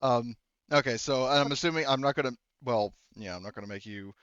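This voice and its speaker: A man narrates a tabletop game as its game master, casual and halting. He speaks conversationally at a medium pitch, and the recording is dry and slightly muffled. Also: fairly bright tone, clear, resonant tone, no room sound.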